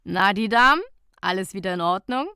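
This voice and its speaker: A woman's voice: medium pitch, animated, brisk, sharp tone, smooth, dry recording, clean studio sound. A woman voicing a friendly, mischievous male pool attendant in a humorous audiobook, teasing and reassuring. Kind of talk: humorous audiobook character dialogue.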